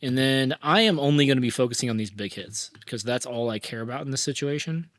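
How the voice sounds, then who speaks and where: A man hosting a casual music-mixing tutorial, hoarse from a cold, talking in a chatty way with lots of fillers and restarts. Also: mid-range voice, fast talker, no echo, clean studio sound. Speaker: mixing engineer tutorial host. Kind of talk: casual screen-recorded tutorial.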